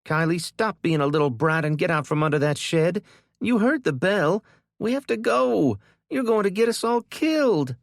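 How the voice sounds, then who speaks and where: A furious, bossy young big sister, voiced by a man, yells and scolds in a high, strained voice. She sounds loud and worked up. It is an audiobook reading with dry, close sound. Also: animated, normal speed, creaky, no room sound. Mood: yelling angrily. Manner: yelling, scolding.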